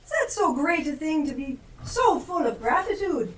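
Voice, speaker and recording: A woman on stage playing a ghostly spirit guide who questions an old miser in a measured, pointed way. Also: sits high, big pitch swings. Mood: pointed, challenging. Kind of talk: stage play acting. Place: theatre stage. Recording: roomy live stage, distant mic, faint noise.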